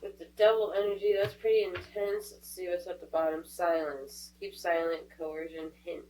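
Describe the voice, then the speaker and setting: A woman's voice: on the low side, flat delivery, moderate pace, a little mellow. A woman doing a casual tarot reading, stuffed up from a cold, chatty and thinking aloud as she goes. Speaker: tarot reader. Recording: voice a bit distant from the mic, faint hum.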